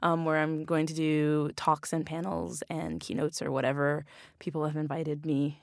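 A young woman, a bike racer, talking casually as a guest in an interview, naturally loud and laughing often. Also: low-pitched, little pitch movement, moderate pace, creaky, no room sound, studio quality.

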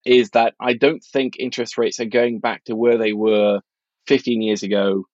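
A man's voice: medium pitch, flat delivery, normal speed, darkish tone, dry recording. Blunt middle-aged man, slightly muffled dry sound. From Norway. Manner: opinionated, blunt, informal. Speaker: financial journalist and author, interview guest. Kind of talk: casual analytical interview talk.

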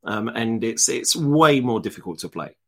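A man's voice: mid-range voice, expressive, moderate pace, creaky. A man with a London accent co-hosting a live chat show, blunt and sweary with dry humour. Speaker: podcast co-host. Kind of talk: casual live-stream chat.

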